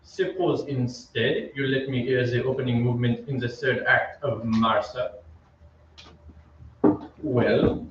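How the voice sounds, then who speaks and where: A man's voice: medium pitch, fairly even intonation, close to an everyday voice. A man playing an opera maestro in a radio drama, courteous, formal and politely firm. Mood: politely dismissive. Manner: measured, courteous, authoritative. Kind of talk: old-time radio drama acting. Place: theatre stage, live reading. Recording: very echoey stage, distant, muffled, hiss.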